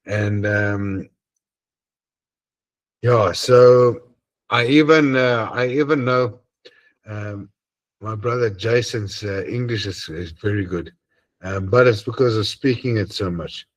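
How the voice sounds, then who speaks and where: A man with an Afrikaans accent and a deep voice, hosting an online group and chatting earnestly and hesitantly over a video call. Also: slow pace, pauses often. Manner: hesitant, many ums and uhs, earnest. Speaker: host of an online assembly. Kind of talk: casual closing chat on a video call.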